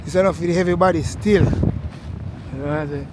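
A middle-aged Jamaican man vlogging excitedly while parasailing over the sea, talking to viewers into an action camera held at arm's length. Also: fairly high pitch, quick pace, noisy background. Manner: excited, repetitive, exclaiming to viewers. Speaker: travel vlogger. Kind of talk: excited travel vlog.

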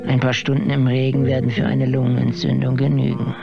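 Radio play chauffeur, male actor: sly, deferential, menacing. Creaky voice, loud music behind. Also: mid-range voice, monotone, a little fast, dull audio, natural voice. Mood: quietly menacing. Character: softly menacing vengeful male chauffeur, aspiring singer.

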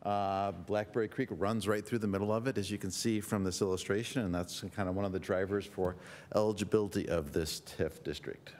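A man's voice: lowish voice, steady tone, brisk, a little mellow. A man, a consultant, presenting a redevelopment plan to a village board, explaining steadily with frequent fillers. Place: council chamber.

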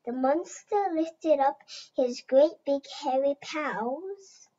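Woman playing patient father zebra, gentle bedtime storytelling, high voice, very slow, muffled sound. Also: recorded from a distance. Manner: gentle, unhurried. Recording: dull lo-fi home recording.

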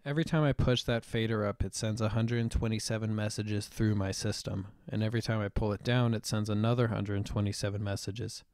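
A young man explaining software setup step by step in a screen-recorded tutorial voice-over, with the odd "um". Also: lowish voice, fairly even intonation, a little fast, few pauses, dry recording, studio quality. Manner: explanatory, step-by-step, with occasional fillers. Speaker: tutorial presenter.

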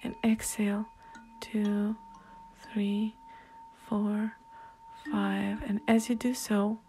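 A woman guiding a meditation in a soft, hushed, breathy way, leaving long pauses between instructions. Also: medium pitch, completely flat delivery. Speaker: meditation guide. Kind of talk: guided meditation narration. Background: soft meditation music.

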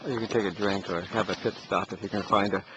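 A man's voice: fairly low pitch, steady tone, quick pace. An older man filming a group hike outdoors and making dry, understated remarks as he walks. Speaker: hiker filming the walk. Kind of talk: casual on-the-go video commentary. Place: outdoors on a rural hillside trail. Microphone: handheld camcorder mic. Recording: dull camcorder audio, outdoor noise.

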